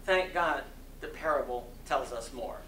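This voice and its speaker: A man delivers a sermon as a dramatic reading in a bright, forceful voice. His phrasing is very slow and deliberate, with impassioned oratorical emphasis. He is recorded from a distance in an echoey hall. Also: mid-range voice. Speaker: reader of a sermon. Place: community center hall. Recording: distant mic in echoey room, faint noise.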